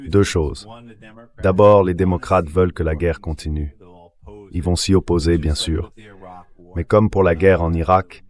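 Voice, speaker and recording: A man speaks in a very low-pitched voice. It is a synthetic voice-over translating an analyst's political commentary. The delivery is measured and explanatory. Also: a little mellow.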